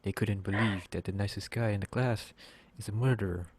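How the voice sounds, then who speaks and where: A man's voice: lowish voice, fairly quick, darkish tone, husky, no echo. A man reading scripted story narration aloud in a plain way as the narrator of a drama.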